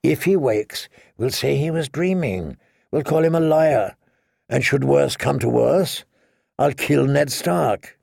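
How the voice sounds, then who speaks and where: A man voicing a cocky, cruel highborn knight with a mocking, sneering drawl for an audiobook. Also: expressive, slow pace, vocal fry, a little mellow, no room sound, studio quality, exaggerated voice. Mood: defiant.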